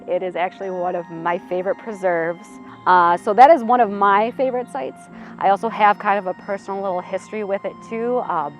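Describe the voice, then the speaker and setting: A woman in her thirties from Illinois, a museum program coordinator answering interview questions in an earnest, reflective way with frequent "uh" and "um". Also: medium pitch. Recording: slightly muffled audio with faint music behind.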